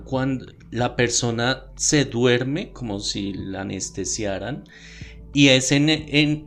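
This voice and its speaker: A man with a Bogotá Colombian accent telling stories and explaining in a conversational, slightly hesitant way on a podcast. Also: mid-range voice. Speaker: podcast panelist. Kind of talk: conversational storytelling. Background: music.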